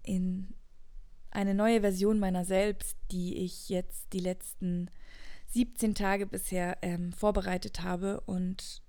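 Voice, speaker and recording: A woman's voice: medium pitch, flat delivery, takes it slowly, mellow. A woman in her late twenties speaking as a podcast host in an earnest, introspective, unscripted personal monologue, often repeating words for emphasis. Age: late 20s, turning 30.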